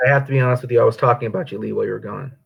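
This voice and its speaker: A man's voice: mid-range voice, fairly even intonation, rapid-fire, creaky, slightly rough, dull audio. A man hosting an interview over a video call, outspoken and emphatic in his talk. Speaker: host. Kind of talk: casual interview.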